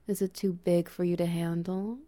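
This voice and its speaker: A woman voicing a dominant, doting adult male lover in an intimate audio roleplay, speaking in breathy whispers with soft laughs and steady praise. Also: lowish voice, normal speed, lightly acted. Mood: teasing, praising.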